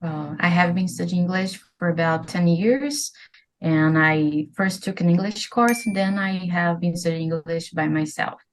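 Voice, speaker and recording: Low-voiced woman candidate. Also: poor audio. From Brazil. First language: Portuguese. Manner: careful, measured answers. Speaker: exam candidate.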